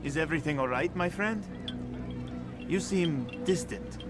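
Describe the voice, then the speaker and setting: A man's voice: on the high side, animated, a little slow. A kindly, fatherly bureau keeper. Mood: reassuring. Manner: warm, fatherly, gently reassuring. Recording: game audio with loud music.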